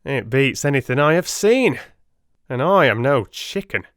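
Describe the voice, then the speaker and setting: A man playing an excitable, boastful Victorian police detective in an audiobook, emphatic and exclamatory. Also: medium pitch, unhurried, no echo, pitched above the performer's own voice. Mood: excited.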